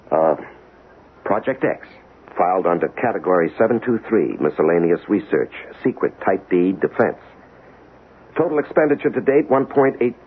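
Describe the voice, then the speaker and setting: A precise, deferential accounts clerk in a 1970s radio play. His voice is low but swings widely in pitch, on a muffled old recording. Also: slightly rough, exaggerated voice. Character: meek, dutiful, precise government accounts clerk. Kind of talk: 1970s radio drama acting.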